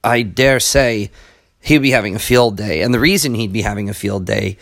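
A man with a raspier voice than usual, hosting a podcast and talking earnestly through a casual monologue full of ums and asides. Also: fairly low pitch, normal speed, a bit bright. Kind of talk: casual spoken monologue on books and history.

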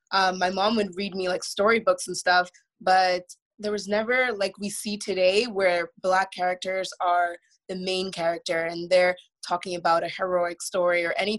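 A woman's voice: lowish voice, fairly bright tone, poor audio. 30s woman on a zoom, chatty and playful. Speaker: panelist. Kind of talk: casual video-call discussion. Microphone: video call.

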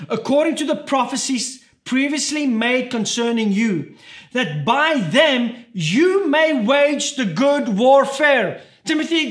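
A man's voice: very high-pitched, fairly bright tone. A man preaching a sermon as pastor, urgent and emphatic, repeating his key points.

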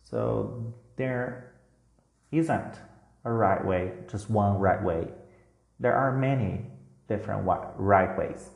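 Slow gravelly man teaching in a roomy space. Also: fairly low pitch. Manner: casual and reassuring, with frequent "like" and "you know". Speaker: English teacher. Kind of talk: recorded lesson for language learners. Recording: roomy echo with faint guitar music.

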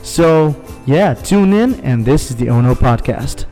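A man's voice: a little high, animated, a little slow, warm tone, faint music behind. Joking young man opening a podcast. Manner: jokey, rambling, self-mocking. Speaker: podcast host. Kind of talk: podcast intro.

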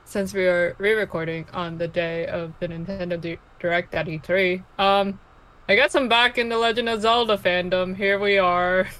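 A woman in her early twenties, co-hosting a casual podcast, speaking softly with hesitant fillers. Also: lowish voice, fairly even intonation, normal speed, low-quality recording. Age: 20s. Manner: soft-spoken, hesitant, lots of fillers. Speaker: podcast co-host. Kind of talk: casual chat.

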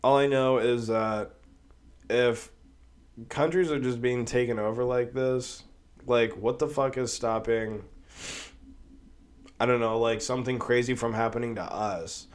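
A man's voice: medium pitch, fairly even intonation, measured pace, pauses often. Sick, rambling man with a cold. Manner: rambling, profane, with many fillers. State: sick with a cold, sniffling and coughing. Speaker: podcast host. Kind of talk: casual solo podcast commentary.

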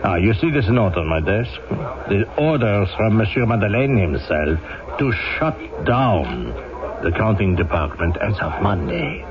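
An actor playing a lecherous, oily factory boss in old-time radio style, hesitant and insinuating, with little chuckles. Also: male voice, on the low side, big pitch swings, a little slow, a crowd in the background. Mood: pressuring, smug. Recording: muffled old radio drama, loud music behind.

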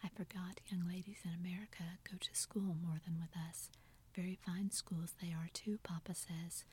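A condescending English young lady speaks in a hushed, breathy whisper, as a woman reads her part aloud. Her tone stays level and low, patronizing without ever rising. This is a storybook reading done in soft ASMR style. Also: flat delivery, normal speed.

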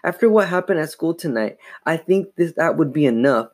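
A man's voice: a little high, brisk, darkish tone, pitched above the performer's own voice, close to an everyday voice. A man voicing an angry, weary mother in a storybook, stern and exasperated as she scolds. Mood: stern scolding.

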